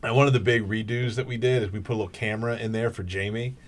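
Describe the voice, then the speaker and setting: A man hosting a talk radio show in a casual, energetic way, joking and laughing often as he interviews a guest. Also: fairly low pitch, quick pace. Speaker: fill-in radio show host.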